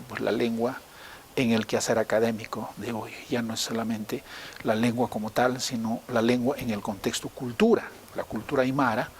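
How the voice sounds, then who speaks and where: A man speaks in a medium-pitched voice, explaining things like a teacher. He restates each point step by step. There is some hiss in the recording. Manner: didactic, rephrases points step by step. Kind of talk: explanatory interview. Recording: noticeable hiss behind the voice.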